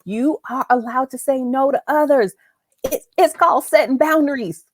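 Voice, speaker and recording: A woman's voice: sits high, moderate pace, dry recording. A woman hosting a faith-based conversational interview, speaking in an emphatic, affirming way and repeating phrases for emphasis. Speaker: talk show host.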